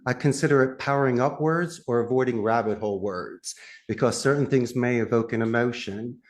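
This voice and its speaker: A man with a Philadelphia accent, a call center CEO giving animated, emphatic interview answers with lively pitch swings and frequent laughs. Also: a little fast.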